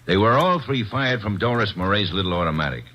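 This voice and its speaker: A homicide inspector who reports his findings in a matter-of-fact way. His low voice is husky, and the sound is dull like an old broadcast. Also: expressive, fairly quick. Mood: reporting. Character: gruff male police inspector, matter-of-fact. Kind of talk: 1940s radio acting. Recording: muffled old radio broadcast.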